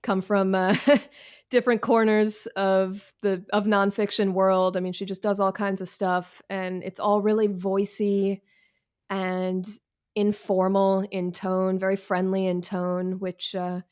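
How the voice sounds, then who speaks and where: A woman's voice: medium pitch, level tone, takes it slowly, darkish tone, clear, resonant tone. A woman guest author giving informal, candid interview answers in a wry, self-deprecating way, speaking from home on a video call.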